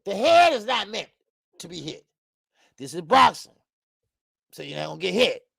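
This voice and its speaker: A man in his fifties hosting a live boxing talk stream, loud and profane, rambling and greeting viewers by name. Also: high-pitched, sing-song, normal speed, vocal fry, breathy edge, no echo, studio quality. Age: 50s. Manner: frequent laughs and stretches of filler. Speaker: boxing show host. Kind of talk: live-stream commentary chat.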